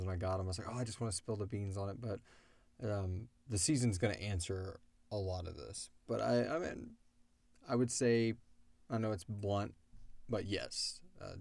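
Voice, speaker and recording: A man's voice: on the low side, vocal fry, a little mellow, dry recording. A man talking in a casual, rambling, conversational way with lots of "like", as a show's creator answering listener questions. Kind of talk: casual Q&A discussion.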